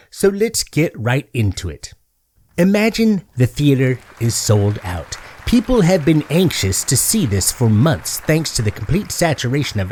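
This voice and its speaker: A man voicing an eager, self-important middle-aged schemer in a comedic monologue, earnestly and crudely making a sales pitch. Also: darkish tone.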